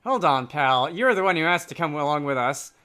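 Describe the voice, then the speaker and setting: A man's voice: a little high, animated, fairly quick, bright, forceful tone, clear, resonant tone, faint music behind. A man voicing a cheery, food-loving male scholar adventurer from a game, breezy and colloquial. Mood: cheerful.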